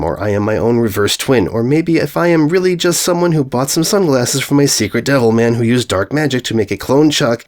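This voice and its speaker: A man's voice: medium pitch, a little mellow, lightly acted. Man acting an earnest, eccentric male author. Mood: earnest, musing. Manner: guileless, oddly phrased rambling. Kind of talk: fan fiction story reading.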